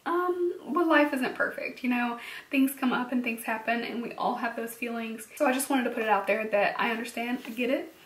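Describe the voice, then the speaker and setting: A young woman speaks straight to her viewers in a soft, earnest way, her fairly high voice worn and close to cracking. She fills pauses with ums and quick little laughs. The sound bounces around a bare room and she sits a little back from the microphone. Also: roomy sound, far from the mic. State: losing her voice, slightly cracking. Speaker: beauty vlogger. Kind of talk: personal vlog talking to camera.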